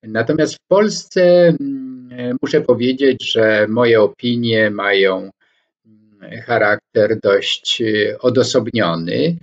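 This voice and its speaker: A man in his sixties from Poland, giving a reflective lecture to camera from his home study, pausing often with hesitation sounds as he picks his words. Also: mid-range voice. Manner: slow and deliberate, frequent hesitation fillers, gestures with both hands. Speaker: scholar commentator. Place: home study with bookshelves, indoors. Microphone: webcam or laptop microphone, head-and-shoulders framing. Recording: dull lo-fi webcam sound.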